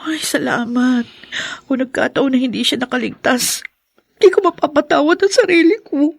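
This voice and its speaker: Radio drama casting: dutiful young daughter, high voice, dry close sound, earnest and apologetic. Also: female voice, moderate pace, creaky, no echo. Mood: anxious, relieved. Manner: earnest, pleading. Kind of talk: Filipino radio drama acting.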